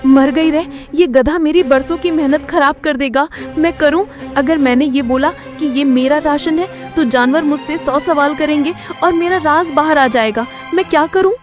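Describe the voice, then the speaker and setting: A woman's voice: high-pitched, moderate pace, few pauses, soft background music, natural voice. A woman voicing a sly, selfish female fox in a cartoon fable, with a sarcastic, complaining manner. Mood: alarmed, dismayed. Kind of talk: Hindi cartoon fable dialogue.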